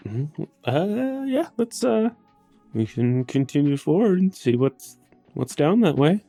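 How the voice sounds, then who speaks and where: Low-voiced, animated woman playing a tabletop game. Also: slow, soft background music. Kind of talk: casual in-character improvised chat.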